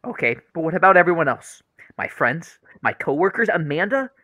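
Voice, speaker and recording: Dejected, defensive young man with lively pitch acted by a man. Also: brisk, exaggerated voice. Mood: defensive, hurt. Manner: hesitant, defensive, sighing. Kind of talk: radio play acting.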